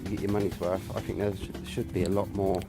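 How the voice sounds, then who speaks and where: A stuffy-nosed man in his thirties with a deep, monotone voice rambles casually about old games. He talks into a handheld camera while walking along a street outdoors. Loud music plays over him. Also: fast. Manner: rambling, hesitant with many fillers. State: stuffy nose and sore throat from hay fever. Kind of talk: casual chat. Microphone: phone or camera held at arm's length.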